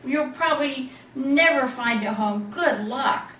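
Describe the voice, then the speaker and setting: A woman voicing a gruff, impatient big toy bear in a storybook reading, blunt and grumbly. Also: sits high, takes it slowly, fairly bright tone, voice pushed up. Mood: grumbling, dismissive. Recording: roomy, noisy, distant library mic.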